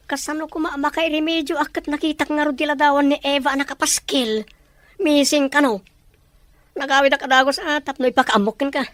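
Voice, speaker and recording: A woman's voice: high-pitched, steady tone, a little fast, fairly bright tone, dry recording. A woman acting a suspicious, dramatic character in an Ilocano-accented radio family melodrama. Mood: insistent.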